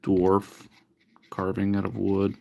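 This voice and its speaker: Low gravelly man chatting while tinkering. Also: completely flat delivery, slow pace, darkish tone. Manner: chatty, wry, mock-disgusted asides. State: sniffly from allergies. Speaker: livestreamer repairing retro handhelds. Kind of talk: casual live-stream chat while working.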